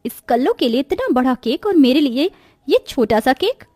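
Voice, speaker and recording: Woman acting a shrill, haughty young sister. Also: big pitch swings, normal speed, a little mellow, no echo, clean studio sound. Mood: jealous, spiteful. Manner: whiny, taunting, snooty. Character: vain, haughty, spiteful young pretty sister and daughter-in-law. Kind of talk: cartoon moral story voice acting.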